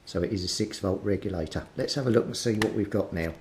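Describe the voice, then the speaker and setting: A man with a fairly low voice talks through a hands-on repair step by step. He thinks aloud in a methodical, unscripted way. The room is quite echoey, with clear rumbling noise behind him. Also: fast, darkish tone. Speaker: hobbyist radio repairer presenting his progress. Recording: roomy echo, clear rumbling background noise.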